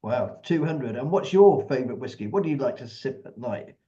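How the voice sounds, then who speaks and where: A man hosting an interview over a video call, upbeat and moving things along with quick questions. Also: medium pitch, lively intonation, warm tone, muffled recording. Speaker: interview host. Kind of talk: casual interview.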